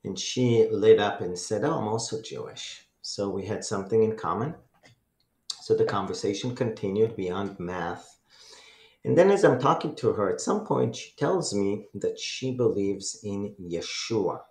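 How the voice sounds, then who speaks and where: A middle-aged man from Israel with an Israeli accent and Hebrew as his first language, telling his personal testimony as a radio guest in an earnest, measured way. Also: fairly low pitch, lively intonation, a little mellow, echoey room. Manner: earnest, measured storytelling with many "um"s.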